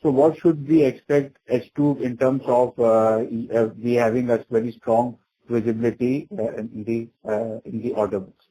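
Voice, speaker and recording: A man, an investor caller, asking questions on an earnings call deferentially and very haltingly. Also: medium pitch, steady tone, warm tone, breathy edge, rough recording.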